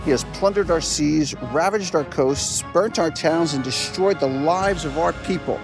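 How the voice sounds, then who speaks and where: A man's voice: fairly high pitch, a little slow. A man reading a historical document aloud, earnestly, leaning into each item of a list. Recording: clear music behind the voice.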